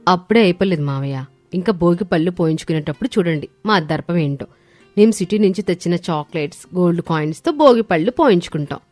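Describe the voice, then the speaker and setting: A woman's voice: on the low side, expressive, fast, a little mellow, no echo, close to an everyday voice. Woman playing haughty, whiny young daughter-in-law. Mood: boastful. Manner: boastful, dismissive, complaining. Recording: studio voice over background music.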